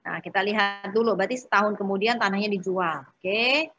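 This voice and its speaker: A woman working through exercises as an accounting instructor in an informal step-by-step lecture, often pausing and adding short check-in tags. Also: mid-range voice, pushed and bright, lo-fi sound.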